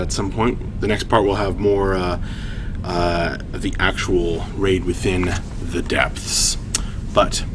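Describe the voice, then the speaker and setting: A man hosting a story reading, shifting between informal, halting chat and measured storytelling narration. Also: fairly low pitch, background noise. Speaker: writer and host reading his own story aloud. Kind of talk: livestream story reading, narration with casual asides.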